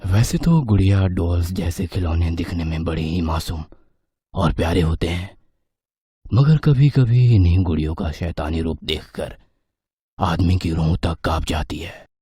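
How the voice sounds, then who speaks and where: Low husky guy narrating a scary story, soft dark tone, dry sound. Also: measured pace, no echo. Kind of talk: scripted horror narration.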